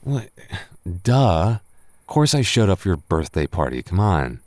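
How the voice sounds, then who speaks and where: A low, close and dry voice: a man playing a tipsy young male roommate in a boyfriend roleplay audio. He talks casually but stammers and gets defensive, hesitating as he hides his crush. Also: big pitch swings, measured pace, darkish tone, no room sound. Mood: teasing, casual.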